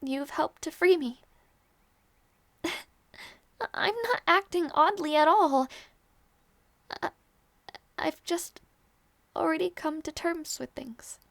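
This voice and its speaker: Woman acting a young princess, high voice, unhurried, very dry close recording, tender, wistful, regal, audio roleplay. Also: gravelly, dry recording, heavily acted. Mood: resigned, reassuring. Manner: soft, measured, regal phrasing with small teasing turns. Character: young princess, tender, wistful, bravely hiding her sadness.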